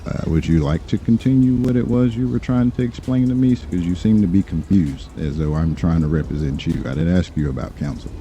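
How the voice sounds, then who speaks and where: A man roleplaying an assertive attorney, speaking in a stern, deliberate and condescending way. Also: sits low, mellow, a little hiss or hum. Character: assertive, condescending male attorney confronting police. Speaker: roleplay streamer playing a lawyer. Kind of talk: in-character improvised game roleplay, formal argumentative talk.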